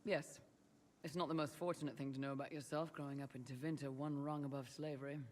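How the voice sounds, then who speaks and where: A man's voice: fairly high pitch, flat delivery, quick pace, in a large hall, a little hiss or hum, lightly acted. Sardonic young mercenary lieutenant played by a man. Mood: earnest. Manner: sardonic, teasing. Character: dry-witted young male mercenary lieutenant. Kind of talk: video game character dialogue.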